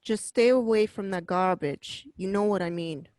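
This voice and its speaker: A woman's voice: mid-range voice, normal speed, darkish tone, no room sound, close to an everyday voice. A woman playing a stern, wary mob boss in an amateur radio drama read, blunt and profane, repeating points for emphasis. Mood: warning.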